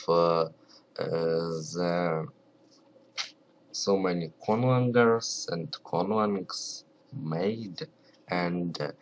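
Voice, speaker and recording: A man with a Ukrainian accent, English not his first language, talking quietly and carefully to camera and often pausing to search for words. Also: low voice, slight background noise. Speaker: video creator. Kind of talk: casual talk to camera.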